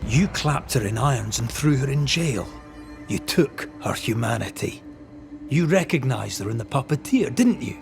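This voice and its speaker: Solemn investigator of spirits for a game: middle register, authoritative, probing, faint score underneath. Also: male voice, medium pitch, lively intonation, gravelly, music in the background. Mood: interrogating. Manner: deliberate, interrogating, authoritative. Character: solemn, probing male ghost hunter passing judgement. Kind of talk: dramatic video game acting.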